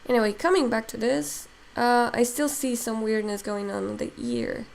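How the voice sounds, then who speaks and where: A woman's voice: a little high, animated, moderate pace, vocal fry, a little mellow. A woman from Mexico, a Spanish speaker talking in English, casually thinking aloud as she demos digital sculpting on a live stream. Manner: thinks aloud while working, many fillers.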